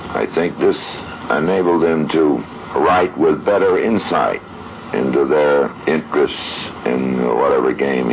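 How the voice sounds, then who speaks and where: A man, a business executive giving a measured reminiscence. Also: a bass voice. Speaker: company president interviewee. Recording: muffled, noisy vintage radio recording.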